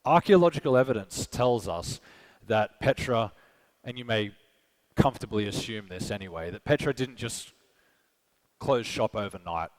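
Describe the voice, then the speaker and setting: A man's voice: lowish voice, animated, normal speed, rough recording. A man with an Australian accent giving a conversational conference talk, asking the audience questions and often checking in with "okay?" and "right?". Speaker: conference speaker. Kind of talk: live keynote presentation. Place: conference stage.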